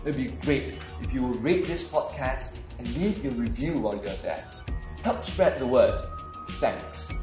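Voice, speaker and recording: A man's voice: medium pitch, expressive, measured pace, echoey room, over music. A man with a Singaporean accent, hosting a podcast and narrating a scripted audio walking tour, guiding the listener step by step. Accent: Singaporean English.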